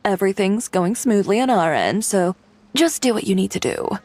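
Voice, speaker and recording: A woman's voice: medium pitch, big pitch swings, normal speed, darkish tone. A woman voicing an easygoing, reassuring woman on a film crew in a video game.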